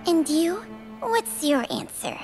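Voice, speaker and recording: A woman playing an ancient, weary female snake spirit in a video game, wry and measured in her delivery. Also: very high-pitched, sing-song, exaggerated voice. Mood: weary, resigned. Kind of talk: scripted video game voice acting. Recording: loud music under the voice. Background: music.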